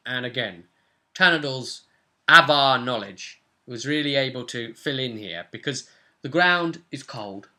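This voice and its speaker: Young male game master, forceful bright tone, medium pitch, informal session recap. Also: animated, a little slow. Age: young adult. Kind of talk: casual recap of a tabletop role-playing session. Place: small room at home, indoors.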